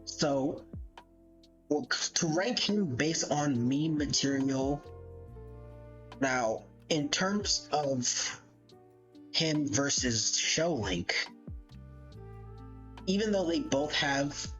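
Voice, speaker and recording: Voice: medium pitch, animated, very slow, pauses often, a bit bright. A streamer giving casual livestream commentary, rambling and thinking aloud in an amused, casually sweary way. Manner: amused, laughs often. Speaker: live streamer. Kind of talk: casual livestream commentary, ranking game characters. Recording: dull lo-fi mic with background music. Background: background music.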